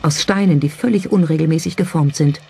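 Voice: mid-range voice, brisk, creaky, a little mellow. A documentary narrator reading a scripted narration. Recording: music under the voice. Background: background music.

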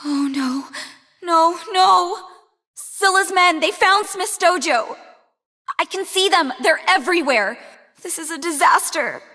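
An actress playing an anguished, desperate young woman in a video game, frantic and halting in her delivery. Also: extremely high pitch, sharp tone, full character voice. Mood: dread. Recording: long reverberant hall echo.

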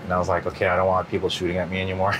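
A middle-aged man answering interview questions in a relaxed, rambling way, full of fillers and restarts. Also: low-pitched, very fast, noisy background. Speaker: interview guest. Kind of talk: casual interview.

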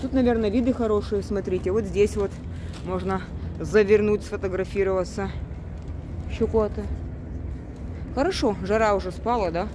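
A woman's voice: mid-range voice, moderate pace, pauses often. A woman hosting a travel vlog in a casual, chatty, colloquial way, filmed at arm's length on a street. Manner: chatty, colloquial, uses many diminutives. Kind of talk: casual spoken vlog narration. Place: outdoors in an old-town market street. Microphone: handheld camera at arm's length. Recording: noisy outdoor street, handheld recording.

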